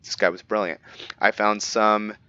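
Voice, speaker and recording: Deep bright man reading game lore. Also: flat delivery, normal speed, clear, resonant tone, no room sound, highs cut off. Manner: stumbling over names, restarting words. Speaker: roleplaying gamer. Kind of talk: reading in-game books aloud and commenting.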